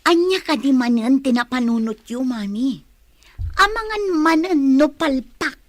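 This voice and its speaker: A woman's voice: sits high, lively intonation, a little slow, dry recording. An actress playing a scheming young office woman who leans on her mother, in an Ilocano radio drama. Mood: doubtful, peevish.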